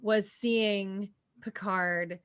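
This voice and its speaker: A woman's voice: mid-range voice, fairly even intonation, extremely slow, fairly bright tone, slight background noise. A woman on a podcast chatting casually with friends in an informal, gushing manner. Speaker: podcast co-host. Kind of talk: casual roundtable chat.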